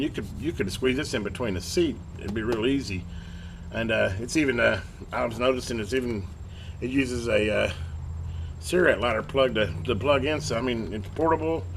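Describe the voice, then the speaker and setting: A man's voice: medium pitch, a bit bright. A man giving a casual hands-on repair demonstration, informal and folksy, thinking aloud as he works. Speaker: repair hobbyist presenting a video. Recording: clearly noisy amateur workbench video.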